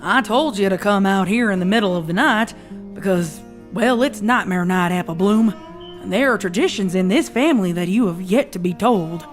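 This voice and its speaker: A woman's voice: medium pitch, moderate pace, soft background music, lightly acted. A woman voicing a teasing, mysterious older farm mare with a rural drawl, played from a pony fanfic reading.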